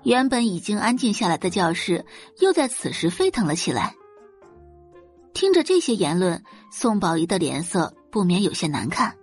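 Husky woman narrating an audio drama. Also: mid-range voice, sing-song, moderate pace, faint music behind.

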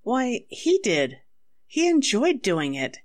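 Evasive wife, old radio melodrama, fairly high voice, very expressive, faltering. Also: female voice, slow pace, exaggerated voice. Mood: anxious, defensive. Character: anxious, evasive wife accused of murder. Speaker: actor in a radio drama. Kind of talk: 1940s radio acting.

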